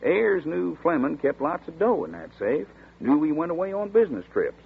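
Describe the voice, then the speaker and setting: An actor playing a chatty small-town sheriff's deputy who talks in a folksy rural dialect, in 1940s radio acting. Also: male voice, a little high, sing-song, normal speed, vocal fry, husky, exaggerated voice. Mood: storytelling. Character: folksy drawling small-town male deputy, middle-aged. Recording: tinny scratchy 1940s radio broadcast.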